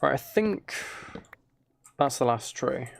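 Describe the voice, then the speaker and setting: A very tired man chatting casually and quietly on a game livestream, rambling and slightly mumbled in a soft ASMR manner. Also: medium pitch, lively intonation, takes it slowly, creaky, a little hiss or hum. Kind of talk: casual gaming stream chat, ASMR.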